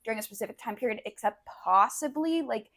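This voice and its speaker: A woman's voice: on the high side, expressive, a little fast, fairly bright tone. An American woman from California co-hosting a podcast, chatting casually in a slangy, hedging, informal way. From California, United States. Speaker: podcast co-host. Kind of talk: casual chat.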